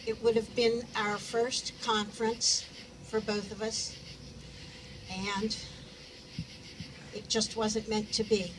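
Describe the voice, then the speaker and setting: A woman's voice: on the high side, level tone, slow pace, long pauses. Woman sharing memories at a memorial, kinda far from the mic, noisy room. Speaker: deli worker speaking at a memorial. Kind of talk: memorial remarks.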